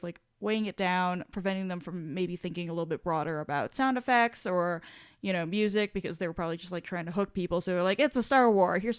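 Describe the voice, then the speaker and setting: A woman's voice: fairly low pitch, clean tone, no echo, clean studio sound. A woman co-hosting a podcast in casual discussion, talking in a rambling, self-deprecating way. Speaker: podcast co-host.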